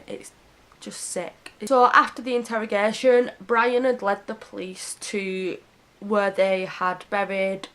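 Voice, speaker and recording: A woman tells a true crime story to camera in an earnest, heartfelt way. Her voice is hoarse and sniffly from a cold and sits at a middle pitch. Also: a bit bright.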